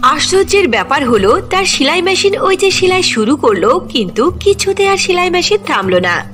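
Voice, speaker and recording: Female narrator for cartoon fairy tales, high-pitched and very expressive. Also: normal speed. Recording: music behind the voice.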